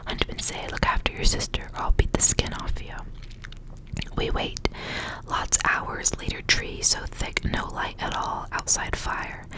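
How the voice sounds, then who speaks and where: A woman reading a story aloud for ASMR in a breathy, unvoiced whisper, soft and hushed, in short phrases. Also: low-quality recording. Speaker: ASMR reader.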